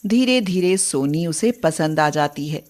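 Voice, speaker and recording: Slow-paced woman narrating a story. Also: deep voice, expressive, a little mellow.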